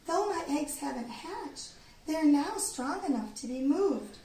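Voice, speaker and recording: A woman voicing a tiny female bird in a children's story, with a squeaky, chirpy, excitable voice. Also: a little slow. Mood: helpful. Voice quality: squeaky little voice. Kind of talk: children's storybook read-aloud. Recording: roomy, distant, faint background noise.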